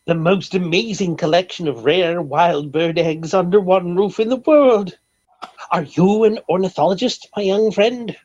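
An actor playing a pompous, eccentric millionaire obsessed with collecting eggs, with flowery theatrical delivery in old-time radio comedy style. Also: male voice, high-pitched, unhurried, darkish tone. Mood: grandly condescending. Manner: theatrical, grandiloquent, flowery formal speech. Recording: dull old radio recording.

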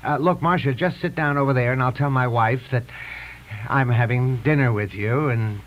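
A man's voice: mid-range voice, normal speed, natural voice. An actor playing a flustered, old-fashioned married man who hesitates and stammers, in a radio comedy-drama. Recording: muffled, faintly noisy radio recording.

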